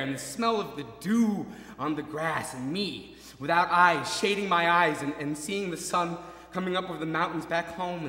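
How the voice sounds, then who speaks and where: A man performs a maimed young soldier in a dramatic stage monologue. The voice is bright and intense, halting over repeated phrases, swinging from murmurs to cries. It rings with echo and long reverb in a big hall. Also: a little high. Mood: ecstatic. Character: maimed, faceless young soldier, anguished, desperately hopeful.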